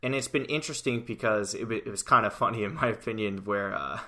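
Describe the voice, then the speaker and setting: A young man from the United States hosts a casual soccer podcast. His voice sits a little low but rings bright and sharp, and he talks with lively, conversational energy and plenty of fillers. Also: fast. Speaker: podcast producer and fill-in host.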